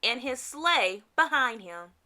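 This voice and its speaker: Female storybook reader for kids: very bright, animated pitch, dramatic emphasis. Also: takes it slowly. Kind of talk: read-aloud scripted narration for kids.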